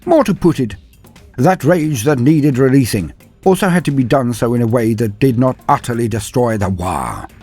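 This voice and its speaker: Male narrator for comedic fantasy saga: theatrical and pompous with a wry edge, raspy tone, very lively intonation. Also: mid-range voice, normal speed, faint music behind. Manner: theatrical, grandiose, wry, with archaic flowery diction. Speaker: storyteller, channel narrator. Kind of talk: mock-epic scripted narration of a comic fantasy tale.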